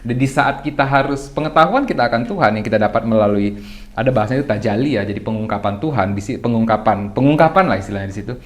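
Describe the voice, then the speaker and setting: A young man sitting in as a guest on a casual talk show, with a voice neither high nor low. He works through his points in a reflective, explanatory way. He restarts phrases, poses his own questions and keeps clearing his throat between thoughts. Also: fast talker. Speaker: guest speaker. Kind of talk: casual podcast discussion.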